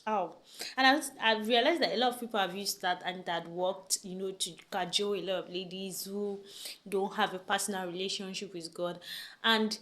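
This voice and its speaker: Brief: young woman, relationship vlogger, mid-pitched, talky and emphatic, leans on "right?". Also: steady tone, normal speed, fairly bright tone. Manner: chatty, emphatic, many rhetorical questions and "right?" tags. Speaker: vlogger giving relationship advice. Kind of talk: casual talk to camera.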